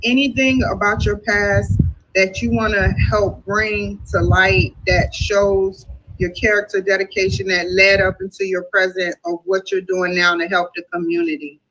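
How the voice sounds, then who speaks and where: A woman's voice: medium pitch, moderate pace, fairly bright tone, a little hiss or hum, poor audio. A woman hosting an interview in a casual, informal way, with some fillers. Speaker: host.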